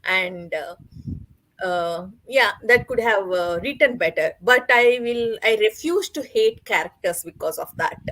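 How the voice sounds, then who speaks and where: A woman talking casually about books as a panelist, in a hesitant, halting way with pauses. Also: medium pitch, animated, fairly bright tone, no room sound, poor audio. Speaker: book club panelist. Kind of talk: casual book discussion.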